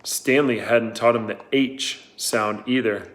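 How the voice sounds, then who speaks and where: Slow steady male reader for a children's novel. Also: mid-range voice. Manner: steady and unhurried. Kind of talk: reading a novel aloud.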